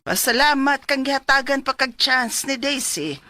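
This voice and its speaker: A nagging, exasperated middle-aged mother in a Philippine radio drama. The voice is sharp, dry and close, with a Cebuano accent and dramatic swings in pitch. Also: expressive, normal speed, no room sound, soft background music. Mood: admonishing. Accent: Cebuano Filipino.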